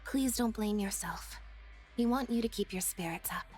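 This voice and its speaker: A woman playing a calm, reserved, caring young woman in a video game, speaking evenly and deliberately. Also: medium pitch, soft background music, natural voice. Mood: gentle, reasoning. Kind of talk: scripted video game voice acting.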